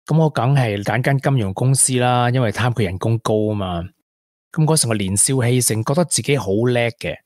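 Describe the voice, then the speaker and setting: An actor playing a regretful former tech prodigy in a radio drama, with a Hong Kong accent and earnest delivery. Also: male voice, medium pitch, brisk, a little mellow, no room sound, clean studio sound, close to an everyday voice. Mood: confessional. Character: regretful young ex-tech prodigy, confessing to a woman. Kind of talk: Cantonese radio drama acting.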